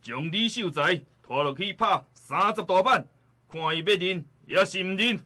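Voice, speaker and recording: An actor playing a stern, pompous magistrate of old times who barks commands in a radio drama. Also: male voice, sits high, moderate pace, bright, forceful tone. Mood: stern, commanding. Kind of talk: Taiwanese radio drama acting.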